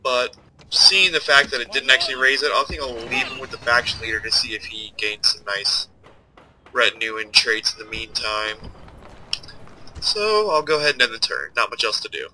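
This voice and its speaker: A man's voice: medium pitch, expressive, moderate pace, bright, forceful tone, a little hiss or hum, rough recording. Nasal, stuffed-up young man rambling. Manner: rambling, thinking aloud. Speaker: let's play host. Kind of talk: casual gameplay commentary.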